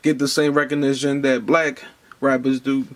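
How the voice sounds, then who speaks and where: A man's voice: medium pitch, level tone, moderate pace. A man in his twenties hosting a casual podcast interview, laid-back and slangy, leaning on fillers. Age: 20s. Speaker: podcast host.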